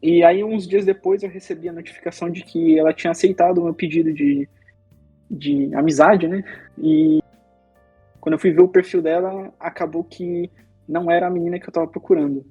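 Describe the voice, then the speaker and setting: A young Brazilian man with a husky, mellow voice tells a story slowly, pausing on fillers. The sound is muffled and lo-fi, with faint music underneath. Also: on the high side, steady tone. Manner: unhurried, hesitant with many "é" fillers. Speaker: guest. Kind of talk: casual storytelling. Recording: dull lo-fi sound.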